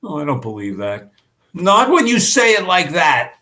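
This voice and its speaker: A woman's voice: lowish voice, big pitch swings, bright, forceful tone. Woman playing a needy, plaintive, wildly swooping ageing father. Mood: hurt, sulking. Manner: plaintive, drawn-out, wounded delivery. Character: needy, weepy, easily hurt ageing father and husband. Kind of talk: amateur staged play reading.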